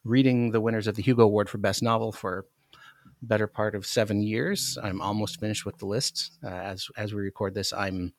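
A man's voice: on the low side, steady tone, a little fast, dry recording, clean studio sound. A man with an American accent, talking casually as a guest on a podcast. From United States, Alaska. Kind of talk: casual chat.

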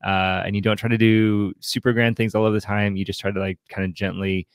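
A man's voice: fairly low pitch, little pitch movement, clear, resonant tone, no room sound, clean studio sound. A man co-hosting a podcast, talking casually through a book in a rambling, searching way and sometimes voicing imagined quotes. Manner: rambling, halting, searching for words; acts out imagined quotes in conversation. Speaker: podcast co-host. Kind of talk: casual book commentary discussion.

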